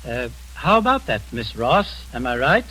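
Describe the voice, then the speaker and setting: An actor playing a genial, dryly amused intelligence agent, in 1940s radio acting on an old broadcast. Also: male voice, medium pitch, very animated, normal speed, pushed and bright, a little hiss or hum, low-quality recording. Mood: wry. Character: calm, wry British intelligence man, genial.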